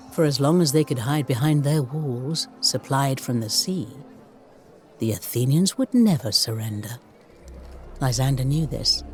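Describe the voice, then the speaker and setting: A man playing a wry, weary storyteller who narrates ancient wars in a dramatic, measured way for a game cutscene. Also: mid-range voice, darkish tone, close to an everyday voice. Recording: music behind the voice.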